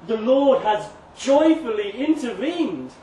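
Slow, echoey man reading a story theatrically. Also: very high voice. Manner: expressive, dramatic, with emphatic swells and hushed drops. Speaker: story reader. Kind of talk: theatrical live reading of a comic historical monologue. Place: live reading event in a venue. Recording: echoey live room, distant mic, noisy ambience.

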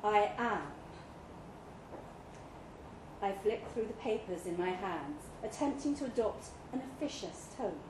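A woman reading literary fiction aloud at a live event, with measured, deliberate phrasing. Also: mid-range voice, expressive. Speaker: story reader. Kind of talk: scripted literary narration, read live. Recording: echoey pub room, noisy live recording.

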